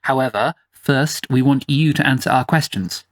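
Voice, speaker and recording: A man's voice: moderate pace, no room sound, close to an everyday voice. A man plays a young storybook prince, proud and guarded. He makes formal declarations as if standing tall before strangers. The sound is close and dry. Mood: proud, wary. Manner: formal, head-high declarations.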